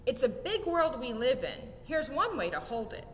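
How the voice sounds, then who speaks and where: A young adult woman reads a rhyming children's picture book aloud in an expressive, sing-song way with emphatic swoops. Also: moderate pace. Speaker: story time reader at a museum. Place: indoor museum exhibit room. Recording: echoey room with low rumbling noise.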